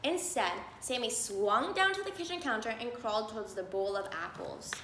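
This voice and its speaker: Female storybook reader, slightly high pitch, children's read-aloud, echoey recording. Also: expressive, normal speed, pushed and bright. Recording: roomy echo, faint background noise.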